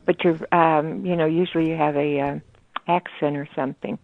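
A woman's voice: low voice, fairly even intonation, moderate pace, creaky, no echo. An older woman chatting on a late-night phone call, rambling with many hesitations. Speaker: prank call target. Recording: dull phone-line audio.

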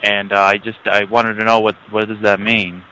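A man with a low but bright, forceful voice. He chats casually and crudely, over a faint bed of noise. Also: level tone, moderate pace, rough recording. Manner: crude, sweary. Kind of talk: casual chat.

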